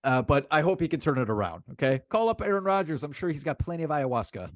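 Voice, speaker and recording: A man's voice: on the high side, sing-song, fast, no echo. Emphatic man talking sports, close dry sound. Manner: emphatic, repeats phrases for emphasis. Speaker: sports podcast co-host. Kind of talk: casual sports chat, opinionated commentary.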